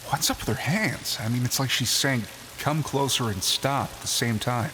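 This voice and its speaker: A man's voice: medium pitch, moderate pace, in a large hall, background noise, close to an everyday voice. A man playing a wary, quippy young adventurer in an audio drama, incredulous and protective in manner.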